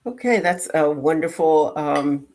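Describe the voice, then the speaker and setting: A woman's voice: sits low, lively intonation, a little slow, darkish tone. A middle-aged woman from Jamaica and Brooklyn answering as an interview guest in a reflective, encouraging, conversational way. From born in Jamaica, raised in Brooklyn, United States. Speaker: guest, life coach and educator.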